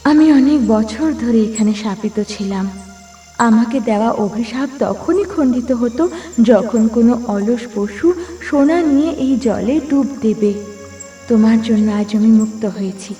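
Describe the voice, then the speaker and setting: Woman as a solemn, high-voiced fairy. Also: measured pace, dark tone, echoey room, soft background music, lightly acted. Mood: grateful, solemn. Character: solemn female pond fairy, grateful, granting wishes.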